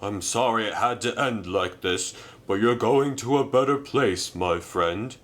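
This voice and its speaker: A man's voice: fairly low pitch, a little slow. A man voicing a polite, easygoing male scrapyard crane in a storybook reading. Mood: calm. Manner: calm and apologetic.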